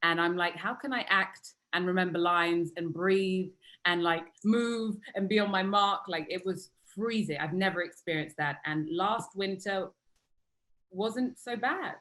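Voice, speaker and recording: A woman's voice: on the low side, unhurried, fairly bright tone. A woman from London with a British accent, chatting casually as an actor guest in a friendly way. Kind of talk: casual conversation.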